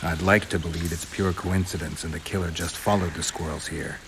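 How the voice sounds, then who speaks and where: Very deep, stoic monster hunter. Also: male voice, steady tone, brisk, noisy background. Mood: dry, matter-of-fact. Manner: terse, understated, dry. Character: stoic, world-weary male monster hunter for hire. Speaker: voice actor. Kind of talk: video game cutscene acting. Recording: rain and ambience behind the voice.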